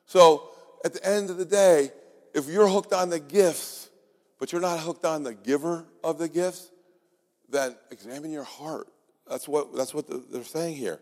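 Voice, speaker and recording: Raspy-voiced man preaching in a hall. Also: on the high side, a little slow, a little mellow. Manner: earnest, exhorting, with restarts. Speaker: pastor. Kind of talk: sermon. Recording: long hall reverb.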